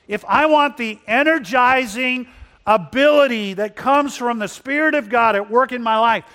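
High-voiced man preaching a sermon. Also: bright, forceful tone. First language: Spanish. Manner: asks the congregation questions and slows for emphasis. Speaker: pastor.

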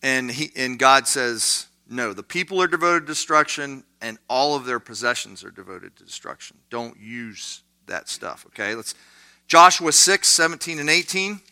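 A man's voice: medium pitch, expressive, moderate pace, bright, forceful tone. Chatty man teaching a Bible class. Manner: conversational, with many fillers and asides.

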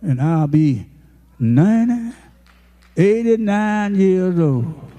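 A man in his eighties, a pastor, preaching a folksy, impassioned sermon that rises to shouted peaks. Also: high-pitched, lively intonation, slow. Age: 80s.

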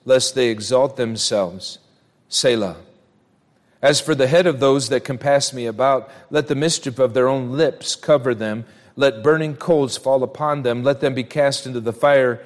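A man preaching as a pastor in church, speaking in a deliberate, emphatic way with pauses and repetition. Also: mid-range voice. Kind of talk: church sermon with scripture reading and prayer. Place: church hall during a service. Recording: reverberant hall sound.

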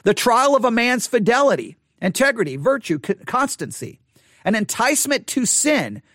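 A man leading a Bible study, thinking aloud in a halting way and often repeating words as he works through an idea. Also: extremely high pitch, sing-song, fairly bright tone, no room sound, clean studio sound.

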